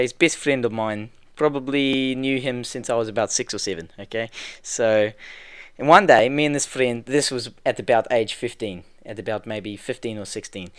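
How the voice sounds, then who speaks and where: A man voicing a comic fake prince, telling tales in a rambling deadpan way. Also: medium pitch, no echo, lightly acted. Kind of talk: comedy podcast bit.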